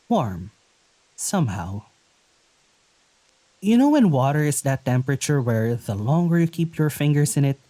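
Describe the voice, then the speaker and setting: Slow, mellow, hesitant young traveler. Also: medium pitch. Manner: hesitant, musing, halting. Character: gentle, wary young traveler conversing with an unseen spirit. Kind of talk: naturalistic audio drama monologue.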